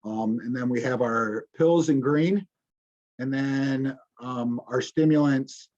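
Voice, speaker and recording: Halting male police presenter, muffled audio. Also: medium pitch, darkish tone. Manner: informal, halting, many ums and restarts. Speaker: police officer presenting to the community. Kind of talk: presentation over slides. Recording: dull, muffled recording.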